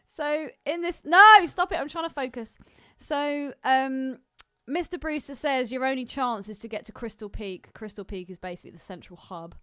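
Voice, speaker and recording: A woman with a fairly high voice co-hosts a podcast, recorded close and dry. She talks in loose comic banter, teasing and swearing freely, with a giggly streak. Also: moderate pace, few pauses, bright, forceful tone, clean tone, dry recording. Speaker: podcast co-host.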